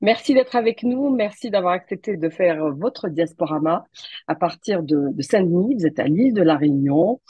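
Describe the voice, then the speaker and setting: A woman hosts an interview in a measured, polite manner, now and then restarting a phrase. Her voice sits fairly low, mellow and husky, and it is recorded dry and close. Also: animated, dry recording, highs cut off. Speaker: show host. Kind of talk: interview hosting.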